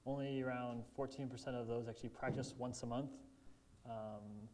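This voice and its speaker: A man's voice: medium pitch, steady tone, normal speed, warm tone. A young man working in campus ministry, presenting with slides in a casual way with joking asides.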